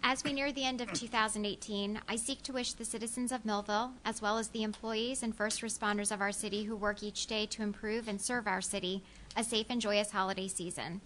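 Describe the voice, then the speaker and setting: Young woman commissioner, formal measured reading, faint room hum. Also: medium pitch, fairly even intonation, a bit bright. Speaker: city commissioner. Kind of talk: reading prepared formal remarks. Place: council chamber, seated at dais. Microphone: desk microphone.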